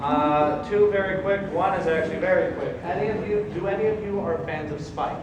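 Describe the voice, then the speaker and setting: A man's voice: high voice, fairly quick. A man casually addressing a panel with questions from the audience. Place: convention panel room. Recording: distant, echoey, very noisy room.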